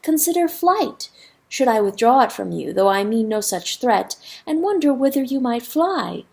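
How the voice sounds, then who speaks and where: A woman doing a calm, knowing river spirit. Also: on the high side, creaky, husky, exaggerated voice. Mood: soothing. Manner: soft, measured, gently mocking. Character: calm, wise talking river, mild and knowing. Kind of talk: audiobook character voice.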